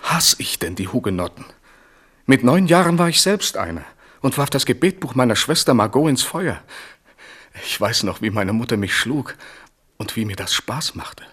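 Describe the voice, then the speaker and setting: An actor playing a pious, helpless, wavering king in a German radio drama, with a breathy voice. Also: medium pitch, big pitch swings, moderate pace, a bit bright. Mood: weary, musing.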